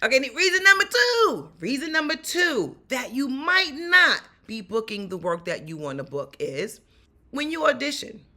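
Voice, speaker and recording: A raspy-voiced woman with a New York accent talks straight to the camera in a casual advice vlog. Her tone is bright and upbeat, animated and down-to-earth. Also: high voice, moderate pace. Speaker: actor and stunt performer giving career advice.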